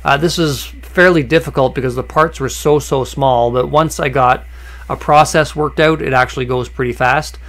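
A man at an ordinary middle pitch talks through his workshop steps in an easygoing voice-over. He pauses often on "uh" and restarts a phrase here and there. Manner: relaxed and conversational, with many "uh" fillers and restarts. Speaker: woodworking channel host. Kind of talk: voice-over narration of a workshop project.

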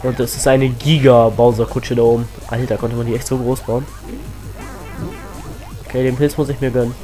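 Teen male let's-player, slightly stuffy from a cold, casual and excitable, mid-pitched, home mic with faint game music. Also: moderate pace. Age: teens. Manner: chatty, excitable, rambling asides. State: has a cold. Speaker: let's play host.

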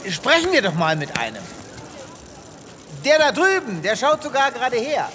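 A man's voice: very high voice, big pitch swings, normal speed, bright, forceful tone, slight background noise, exaggerated voice. A man playing a law-abiding, naively upbeat space veterinarian with a fussy, theatrical manner in a comedy audio drama. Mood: cheerful. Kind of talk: German comedy science fiction audio drama.

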